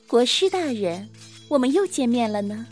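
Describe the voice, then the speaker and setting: A woman playing a bold, playful young female assassin who teases coquettishly in a Chinese period audio drama. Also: a little high. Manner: coquettish, teasing, drawn-out. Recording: background music under the voice.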